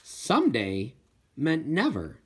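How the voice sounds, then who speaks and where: A man reading a first-person children's novel as an expressive audiobook narrator. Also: fairly high pitch, takes it slowly, husky. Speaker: audiobook reader.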